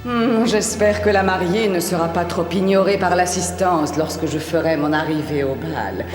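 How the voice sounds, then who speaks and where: A woman's voice: lowish voice, moderate pace, a crowd in the background, close to an everyday voice. A woman playing a vain, wicked, imperious queen in a cartoon fairy tale, with haughty theatrical delivery. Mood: vain, menacing. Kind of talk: French animated fairy-tale dub acting. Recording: echoey hall, faint music behind.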